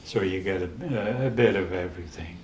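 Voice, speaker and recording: A man in his eighties with a Dutch accent, telling stories in a casual interview, halting with many restarts and pauses. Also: low voice, roomy sound, a little hiss or hum. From the Netherlands. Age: 80s. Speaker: interview guest.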